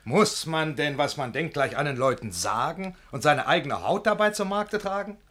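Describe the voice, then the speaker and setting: An actor playing a worldly, wry gentleman who cautions his friend in urbane rhymed verse, in a classic radio play. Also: fairly high pitch, expressive. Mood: wry warning. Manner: urbane, reasoning, measured declamation.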